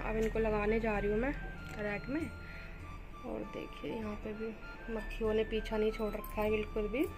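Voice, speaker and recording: A woman's voice: mid-range voice, flat delivery, normal speed. A young woman with a Rajasthani Hindi accent, chatting to viewers as she does housework in a village courtyard, recorded on a phone. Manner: chatty, addresses viewers directly. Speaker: vlogger. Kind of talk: casual vlog narration. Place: village home courtyard, outdoors. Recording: background music under the voice.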